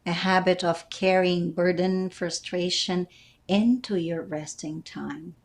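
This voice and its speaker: A woman leading a guided meditation as its teacher, speaking gently and deliberately with frequent pauses. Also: fairly low pitch. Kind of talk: guided meditation, calm instruction.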